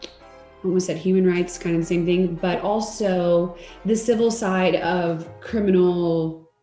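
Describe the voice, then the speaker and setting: A woman's voice: on the low side, fairly even intonation, a little slow, mellow, echoey room, faint music behind. A young woman from Texas, a law student guest, chatting frankly with hesitant fillers. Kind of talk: casual interview chat.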